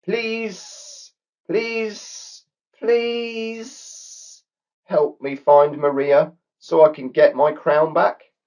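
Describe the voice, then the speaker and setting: A man voicing a fussy, whiny male snake in a children's story, hissing and pleading in a high voice. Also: level tone, drawn-out words, a little mellow.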